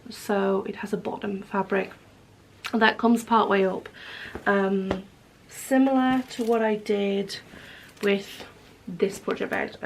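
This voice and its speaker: A woman chats casually from her living room, her voice hoarse and croaky from a cold, with a gravelly rasp. She rambles through her plans, correcting herself and filling pauses with ums. Her pitch sits in a middle range. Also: slight background noise. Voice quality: croaky, husky. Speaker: knitting vlogger. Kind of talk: casual vlog chat. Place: home living room with wood stove.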